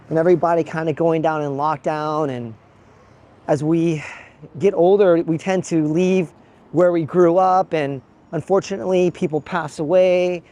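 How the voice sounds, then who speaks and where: A man from the American Midwest talking thoughtfully to his handheld camera outdoors, pausing and restarting as he muses. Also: on the high side, mellow. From Midwest United States. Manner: thoughtful and musing, with frequent pauses and restarts. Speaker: travel vlogger. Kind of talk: reflective vlog monologue to camera. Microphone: handheld pocket gimbal camera.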